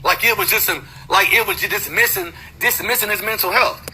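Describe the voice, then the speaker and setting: A man's voice: sits high, a little fast, bright, forceful tone, slight background noise. A man in a heated argument on a recorded phone call, confrontational and profane, pressing the same points over and over.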